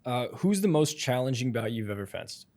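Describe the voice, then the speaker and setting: A man's voice: mid-range voice, big pitch swings, normal speed, darkish tone. A man co-hosting a podcast, asking questions with dry joking asides. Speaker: podcast co-host. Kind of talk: casual podcast chat.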